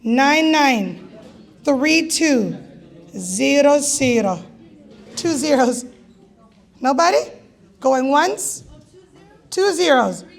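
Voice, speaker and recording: A woman emceeing a gala and calling raffle numbers, with a raspy voice and a playful, teasing manner. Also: high-pitched, big pitch swings, very slow, pauses often. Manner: playful, teasing, jokey asides. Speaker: event host calling raffle draws. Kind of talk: live emcee banter at a gala.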